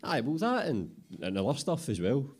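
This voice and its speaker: A man with a Scottish accent voicing a cheeky young apprentice joiner, lively and defensive. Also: mid-range voice, normal speed, breathy edge, voice pushed up.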